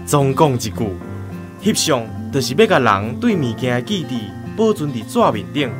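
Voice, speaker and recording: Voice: medium pitch, sing-song, moderate pace, over music, lightly acted. An actor playing an easygoing, philosophical village photographer in a Taiwanese radio drama, explaining things earnestly.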